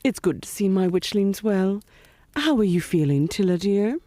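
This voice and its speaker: Woman as a lilting, animated witch mother. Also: mid-range voice, normal speed, a little hiss or hum, lightly acted. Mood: sweet, pleased. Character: witch mother, sweetly doting yet knowing matriarch. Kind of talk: audio-drama acting.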